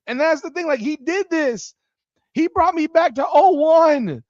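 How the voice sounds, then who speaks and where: A man in his forties hosting a casual sports podcast, animated and swearing freely as he rambles like a fan. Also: very high-pitched, measured pace, dry recording. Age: 40s. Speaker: podcast host. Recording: dull dry lo-fi sound.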